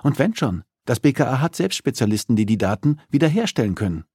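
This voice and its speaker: A man's voice: mid-range voice, sing-song, fast, a little mellow, slightly rough, no room sound, studio quality, pitched above the performer's own voice. A man narrating an audiobook, voicing a captive female homicide detective who is defensive, stubborn and strained under interrogation. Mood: defiant.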